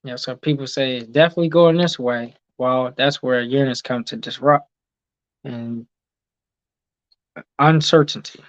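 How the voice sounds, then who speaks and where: A man's voice: medium pitch, fairly even intonation, unhurried, pauses often, dry recording, highs cut off. A man hosting a casual teaching talk, reading viewer comments aloud and answering them, with many ums and okays. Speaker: host reading live chat.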